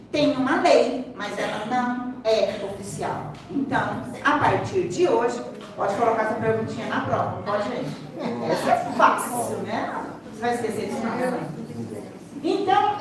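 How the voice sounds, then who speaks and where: Echoey, high-voiced Brazilian woman lecturing. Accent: Brazilian Portuguese. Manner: didactic, emphatic, asks rhetorical questions and repeats key points. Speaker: seminar speaker, sign language teacher and interpreter. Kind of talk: interactive lecture to an audience. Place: large echoey room, far from the mic. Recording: echoey hall, distant microphone, clear background noise.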